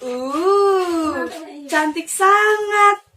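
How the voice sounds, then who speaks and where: Woman as a gushing, high-pitched storybook dove. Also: animated, sharp tone, exaggerated voice. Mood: flattering. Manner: gushing, drawn-out praise. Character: admiring, flattering dove of a fable. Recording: distant mic with faint noise.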